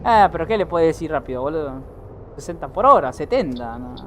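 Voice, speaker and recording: A man's voice: on the high side, very animated, brisk, background noise. An Argentine man with an Argentine accent giving casual live commentary while streaming a driving game, chatting with viewers and swearing now and then.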